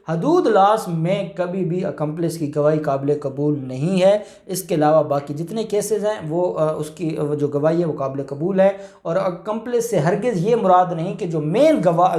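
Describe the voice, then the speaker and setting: Higher-pitched Pakistani man explaining law. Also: a little mellow. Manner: explanatory, repeats key phrases, mixes in English legal terms. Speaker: lawyer, guest expert. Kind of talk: informal legal explanation in question-and-answer show.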